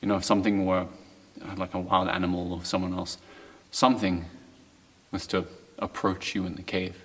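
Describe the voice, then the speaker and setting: A man giving informal instruction as a caver, in halting phrases that trail off. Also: deep voice, level tone, breathy edge.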